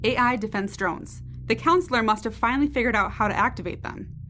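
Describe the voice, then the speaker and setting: A woman's voice: fairly high pitch, brisk, a bit bright, slight background noise, lightly acted. A woman in an audio drama playing a tough, self-assured soldier with a dry, blunt way of talking. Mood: dry, confident.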